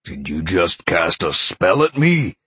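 A man voicing a gruff, impatient lion shapeshifter in an audiobook, growling and bluntly sardonic. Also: rumbling low, animated, measured pace, dry recording, heavily acted. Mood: menacing. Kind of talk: audiobook character acting.

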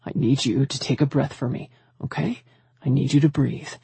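A woman narrator voicing a steady, protective man, firm and reassuring, hushed and husky, in an audiobook romance drama. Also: normal speed, dry recording, full character voice. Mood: soothing. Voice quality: husky, low. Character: steady, protective man, the heroine's tender partner.

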